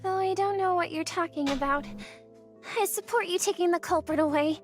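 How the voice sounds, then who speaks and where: A woman's voice: very high-pitched, a little fast, soft background music. An actress playing a young female game character, frank and puzzled, with a questioning, hesitant way of talking.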